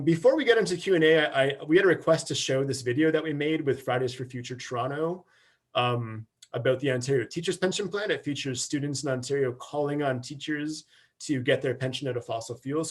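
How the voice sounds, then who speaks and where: A man giving a casual webinar slide presentation as a campaigner, explaining loosely with plenty of fillers over a video call. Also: mid-range voice.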